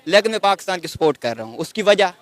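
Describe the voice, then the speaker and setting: A man's voice: high voice, big pitch swings, quick pace. A young man from Kashmir arguing defensively in a street interview. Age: young adult. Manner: defensive, argumentative.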